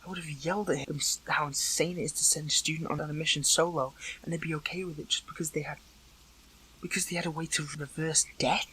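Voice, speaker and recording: A man's voice: fairly high pitch, fairly even intonation, bright, forceful tone, slight background noise, poor audio. Man acting tense, earnest captive hero. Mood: indignant. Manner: halting, pleading, breaks and repeats phrases. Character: captive male superhero, earnest, hurt, questioning an old friend. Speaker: voice actor in an audio roleplay. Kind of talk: dramatic audio roleplay acting, one side of a dialogue.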